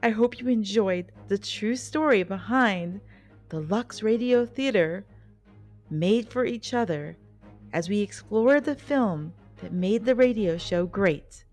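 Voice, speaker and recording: A woman's voice: medium pitch, slow. A woman reading scripted documentary narration as the host of the programme. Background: soft background music.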